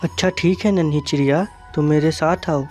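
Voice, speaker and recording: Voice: mid-range voice, steady tone, normal speed, warm tone, faint music behind, lightly acted. A performer voicing a brotherly parrot in a cartoon moral story, with a direct, questioning manner. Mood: reassuring. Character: brotherly parrot questioning a little lost bird.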